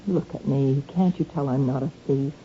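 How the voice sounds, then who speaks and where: An actress playing an anxious, defensive young married woman in a flustered, halting, pleading way, in 1970s radio drama style. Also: a bass voice, mellow. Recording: dull lo-fi old radio recording, faint noise.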